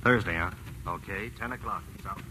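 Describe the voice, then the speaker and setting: A man's voice: low voice, normal speed, pushed and bright, poor audio. An actor playing a breezy, secretive lawman husband in a 1940s radio drama, casual and clipped. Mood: brisk, secretive. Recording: hissy vintage radio broadcast.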